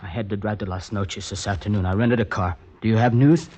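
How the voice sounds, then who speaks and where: An actor playing a desperate, brooding poet in a 1950s radio drama, speaking with literary intensity. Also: male voice, fairly low pitch, a little fast, darkish tone, natural voice. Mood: guarded, bitter. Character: desperate man nicknamed Poet, anguished, pleading for a kidnapped woman. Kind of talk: 1950s radio drama acting, brooding first-person narration. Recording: vintage radio drama with music behind.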